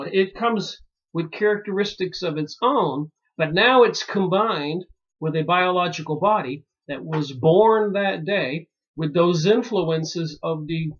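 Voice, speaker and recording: Man from pennsylvania, slow rambling monologue, fairly high voice, muffled lo-fi sound. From Pennsylvania, United States. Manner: slow and musing, many pauses and fillers. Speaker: video commentator. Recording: dull lo-fi recording.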